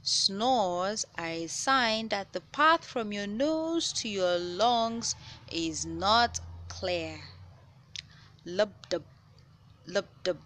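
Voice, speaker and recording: A woman reading a children's science picture book aloud, with measured, clear phrasing for young listeners. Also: mid-range voice, animated, fairly bright tone. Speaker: children's read-aloud host. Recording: noticeable background noise.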